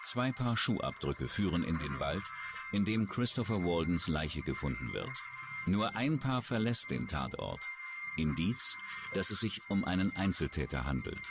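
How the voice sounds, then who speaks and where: A man's voice: low voice, big pitch swings, a little slow. A man reading scripted true-crime narration as a documentary narrator. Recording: music under the voice. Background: background music.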